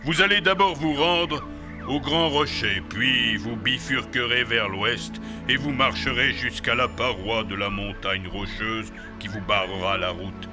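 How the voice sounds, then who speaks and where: A kindly old-world mentor tree from a cartoon, acted by a man, speaking in a measured, benevolent way. The pitch is medium for a man, and music plays behind. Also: lightly acted. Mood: careful, instructing. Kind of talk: cartoon dub acting. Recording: music under the dialogue.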